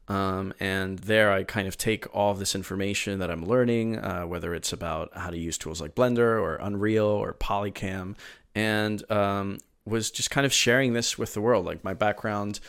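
A man's voice: on the low side, smooth. A man from Venezuela, in his thirties, chatting eagerly as an interview guest, rambling with lots of fillers and restarts. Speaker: podcast guest. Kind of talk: casual interview storytelling.

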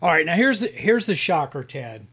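A man's voice: on the high side, very animated, normal speed, pushed and bright, husky. A man co-hosting a casual movie review podcast, easygoing and agreeable, often trailing off mid-sentence. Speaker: podcast co-host; works in the film industry.

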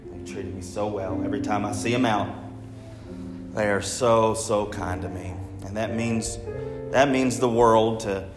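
A man's voice: fairly low pitch, fairly even intonation, measured pace, over music. A young man giving a casual, rambling testimony as a guest preacher, restarting his sentences and joking at his own expense. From Arkansas. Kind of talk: testimony sermon to a congregation. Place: large church sanctuary. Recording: very echoey hall, distant mic, faint room noise.

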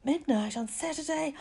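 A woman reading a story as an anxious, unwell schoolboy with a hoarse, breathy voice. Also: mid-range voice, warm tone, lightly acted. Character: anxious, sickly schoolboy, easily panicked.

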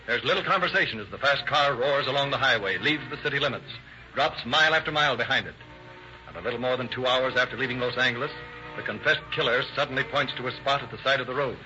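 An urgent crime-story narrator, talking fast in scripted vintage radio style. Music plays clearly behind the muffled recording. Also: male voice, medium pitch, bright, forceful tone. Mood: dramatic. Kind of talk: scripted dramatic radio narration, 1930s-40s.

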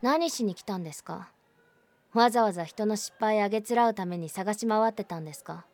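A woman's voice: mid-range voice, a little fast, no room sound, natural voice. A blunt teenage schoolgirl, voiced by a woman speaks curtly and sarcastically in a voice drama. Her voice sits mid-range, and the recording is dry and close. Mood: cold. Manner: curt, sarcastic, cold. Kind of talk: voice drama acting.

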